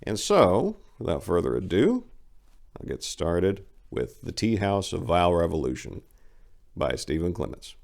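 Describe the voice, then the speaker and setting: A man's voice: low voice, very animated, a little slow, creaky, a little mellow. A man hosting and narrating his own short stories in a dramatic, scripted reading style. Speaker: host, author and narrator.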